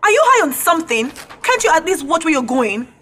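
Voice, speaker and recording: A woman playing a haughty, sharp-tongued young Nigerian student in an audio drama, sarcastic and confrontational. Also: very high voice, very animated, fairly quick, a little hiss or hum, heavily acted. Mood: indignant.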